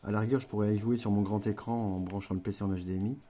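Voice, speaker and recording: A man's voice: lowish voice, steady tone, racing, warm tone, soft background music. A man chatting casually through a gameplay tutorial, rambling with frequent fillers and self-corrections. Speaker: gaming video creator.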